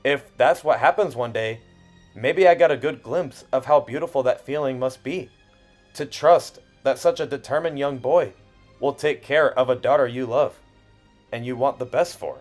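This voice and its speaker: A man narrating a personal video essay in an expressive way, with self-deprecating asides and dramatic pauses. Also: medium pitch. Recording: music under the voice. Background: background music.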